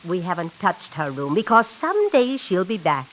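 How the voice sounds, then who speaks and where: An actress playing a wistful, secretive old landlady in a 1940s radio play, speaking softly and in a hushed way, on an old radio recording. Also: on the low side, big pitch swings, normal speed, background noise. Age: older.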